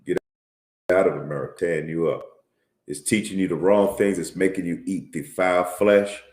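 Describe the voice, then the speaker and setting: A deep-voiced man gives an informal Bible teaching, circling back over his points and ending phrases with "man." When he reads scripture, his voice climbs higher and louder and slows right down. The room around him is echoey. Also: fairly even intonation. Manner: tags lines with "man", repeats himself; reads scripture aloud in a raised, louder, slower voice. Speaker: religious teacher.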